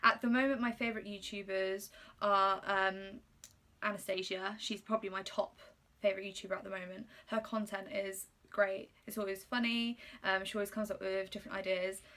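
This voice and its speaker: Young woman, twenties, Polish first language, crisp bright voice, chatty vlog answers. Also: mid-range voice, level tone, a little fast. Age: 20s. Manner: chatty, reads questions aloud and answers with frequent ums. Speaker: YouTuber. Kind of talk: casual get-to-know-me Q&A.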